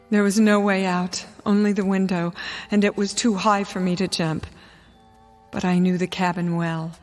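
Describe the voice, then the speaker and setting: An actress playing a frightened but steely, defiant wife in a radio drama, speaking deliberately and sometimes near a whisper. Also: female voice, fairly low pitch, close to an everyday voice. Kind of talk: dramatic first-person radio drama narration and dialogue.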